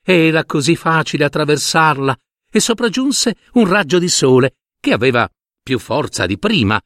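A man narrating a fairy tale as a storyteller, in theatrical, deliberate phrases. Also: a little high, sing-song, fairly bright tone, no echo, clean studio sound.

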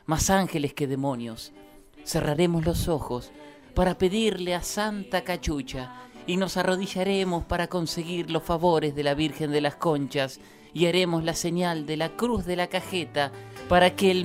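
A woman's voice: fairly low pitch, darkish tone. A woman reading scripted narration for a satirical radio drama in a mock-solemn, poetic manner.

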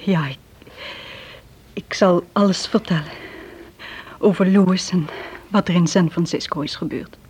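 An actress playing an anxious, addicted woman making a halting confession in a Dutch radio drama. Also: on the low side, a little mellow, breathy edge. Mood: tense, confessing. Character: anxious drug-addicted young woman confessing a criminal scheme. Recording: muffled, lo-fi old radio play recording.

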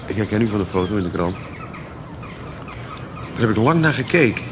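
A man's voice: on the low side, animated, fairly quick, background noise, close to an everyday voice. An actor playing an armed, defiant young man in a radio play, polite but menacing as he probes. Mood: coolly menacing.

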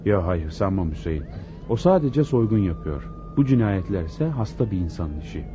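An actor playing a dutiful, commanding police inspector in a radio drama, speaking deliberately. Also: male voice, deep voice, very animated. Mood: grim, pondering. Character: dutiful male police inspector leading a murder case. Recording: dull, noisy old radio broadcast.

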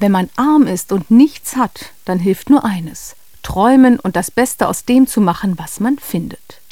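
A woman's voice: medium pitch, lively intonation, a little slow, mellow, faint music behind. A woman presenting and narrating a children's radio play in a scripted storytelling style, close on a studio mic.

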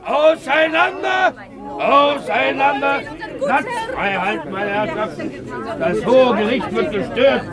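An actor playing an officious policeman shouting drawn-out commands to clear people away in a 1950s German radio drama. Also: extremely high pitch. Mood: commanding. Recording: outdoor crowd noise behind.

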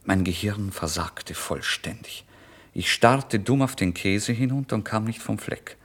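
An actor playing a starving, desperate young writer in a theatrical, volatile radio play monologue. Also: male voice, lowish voice, expressive, measured pace. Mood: brooding, confused. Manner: theatrical, volatile, swinging from hushed to loud outbursts. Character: starving, desperate young male writer, feverish and self-deceiving. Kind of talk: dramatic literary monologue, radio play narration.

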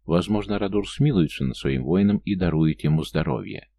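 A stubborn young warrior of a fantasy audiobook, read by a male narrator, proud and gruff. The speech is formal, the voice deep and creaky. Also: normal speed, mellow, dry recording. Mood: grateful.

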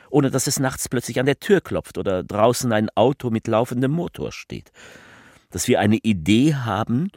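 Deep-voiced, animated political orator. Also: male voice, dry recording, studio quality, exaggerated voice. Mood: earnest, pleading. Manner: rhetorical, deliberate, with emphatic repetition. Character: impassioned male political orator, grave, fervent, slightly absurd. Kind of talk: theatrical political speech monologue.

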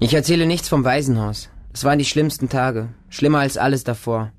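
A man's voice: medium pitch, brisk, natural voice. An actor playing a distrustful feral orphan boy raised by dogs, narrating a radio drama in short, insistent, repetitive childlike sentences. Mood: brooding, wondering. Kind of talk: radio drama monologue, first-person narration.

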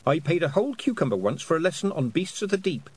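A male reader plays a plucky young girl from a storybook. The girl is earnest and stubborn, stating things firmly as if she knows best. The pitch is raised and moves with lively emphasis. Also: quick pace, breathy edge. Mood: matter-of-fact. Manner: earnest, emphatic, matter-of-fact.

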